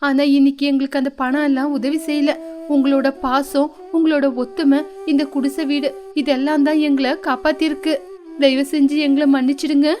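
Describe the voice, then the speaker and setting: A woman's voice: sits high, level tone, normal speed, darkish tone, lightly acted. A woman voicing a haughty, melodramatic rich female bird relative in a children's moral story cartoon. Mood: remorseful. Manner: melodramatic, exclamatory.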